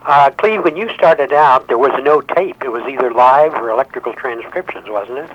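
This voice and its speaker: A man's voice: mid-range voice, normal speed. Male phone-in caller. Mid-range voice, muffled line, casual questioning. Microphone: telephone. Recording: dull telephone line.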